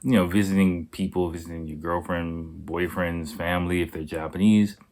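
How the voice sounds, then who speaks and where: A slightly hoarse man hosting a casual solo podcast, talking in a laid-back, rambling way with plenty of swearing. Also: deep voice, fairly even intonation, normal speed, a little mellow. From United States. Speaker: podcast host. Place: Japan.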